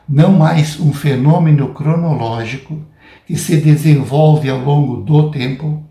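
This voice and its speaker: A man with a Brazilian Portuguese accent giving a prepared academic lecture in a deliberate, measured, didactic way. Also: a little high, mellow. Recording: roomy, echoing sound.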